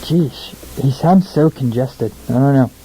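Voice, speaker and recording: A man's voice: medium pitch, a little slow, over music, rough recording. A young American man hosting a casual radio show, chatty, informal and self-deprecating between songs. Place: small radio studio, alone.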